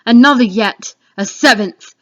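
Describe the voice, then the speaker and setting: A woman's voice: fairly high pitch, a little slow, fairly bright tone. A woman reading the part of Macbeth, a fearful, defiant king, in a declamatory Shakespeare dramatic reading. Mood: horrified. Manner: declamatory, exclamatory. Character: Macbeth, male Scottish thane turned king, fearful and defiant. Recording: dull lo-fi home recording.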